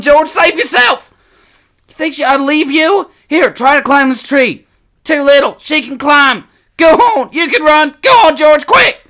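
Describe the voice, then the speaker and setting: An excitable young boy from a storybook, acted out by a man. The voice is pitched high and sharp, with a taunting, exclaiming way of talking. He bickers like a brother out in the woods.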